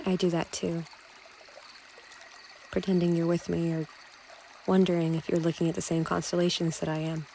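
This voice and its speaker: A guarded young cyborg woman played by an actress talks in a slightly low voice with wry, awkward teasing. It is romantic audio drama acting, with a noticeable noise of trickling creek water behind her. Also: flat delivery, moderate pace, gravelly, long pauses, warm tone. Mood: playful, flustered. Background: running creek water.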